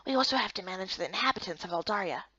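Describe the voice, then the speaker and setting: A woman voicing a gentle, patient male guard official in a soft, breathy, reassuring way for a game story. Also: mid-range voice, expressive, rapid-fire, no echo, lo-fi sound.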